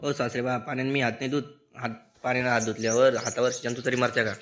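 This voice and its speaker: A man's voice: medium pitch, level tone, quick pace, noisy background, lightly acted. A man playing a grumpy, sarcastic son-in-law in a comedy cartoon, speaking with a rural Maharashtrian accent. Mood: indignant. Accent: rural Maharashtrian Marathi. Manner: complaining, mocking. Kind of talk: comedy cartoon dialogue in colloquial Marathi.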